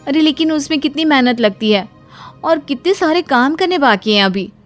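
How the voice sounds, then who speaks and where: A tender-hearted young wife in a cartoon moral tale, acted by a woman. Her voice is high and expressive, easily flustered. Music plays quietly underneath. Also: moderate pace. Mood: reluctant. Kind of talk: cartoon moral story dialogue.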